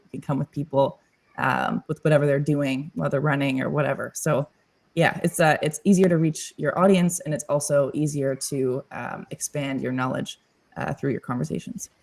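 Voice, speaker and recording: A woman who hosts a podcast, talking upbeat and casually on a video call with lots of fillers. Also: low voice. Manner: upbeat, with many fillers and soft laughs. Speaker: podcast host, panel guest. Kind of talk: casual panel conversation.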